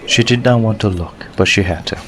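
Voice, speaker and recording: A man's voice: on the low side, a little fast. A man narrating an audiobook story in a scripted reading style.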